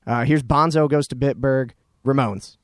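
A man's voice: medium pitch, heavy background noise. A young man hosting a college radio show, chatting casually and rambling with lots of fillers. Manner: quick, rambling, filler-heavy. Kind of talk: casual on-air chat. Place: radio studio. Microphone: studio mic.

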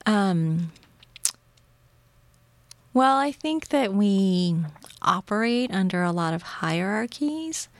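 A woman's voice: medium pitch, lively intonation, slow, pauses often, dry recording. A woman whose first language is Japanese, speaking English as a poet and translator in a thoughtful, musing conversation, often laughing lightly. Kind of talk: conversational interview.

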